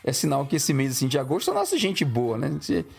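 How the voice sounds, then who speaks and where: A man's voice: medium pitch, wildly swooping pitch, fairly quick, creaky, husky. A man, a Portuguese speaker, chatting casually as a podcast co-host. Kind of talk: casual chat.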